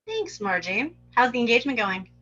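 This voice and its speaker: Brash high-voiced young patient read by a woman. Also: sing-song, a little fast, bright, forceful tone, muffled recording, exaggerated voice. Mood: cool, needling. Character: brash, foul-mouthed, provocative young female psychiatric patient. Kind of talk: live script table read.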